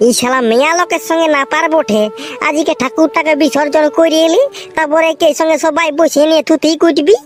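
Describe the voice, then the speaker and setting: A man voicing a grumpy, slow-witted young village man in a comic cartoon, with a Purulia Bengali accent and a pitched-up voice, protesting and repeating himself. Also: fairly even intonation, soft background music. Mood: indignant.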